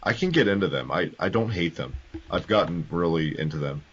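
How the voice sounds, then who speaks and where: A man co-hosting a podcast, chatting casually with relaxed, joking banter. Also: deep voice, fairly quick, breathy edge. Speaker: podcast co-host. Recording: dull lo-fi podcast audio.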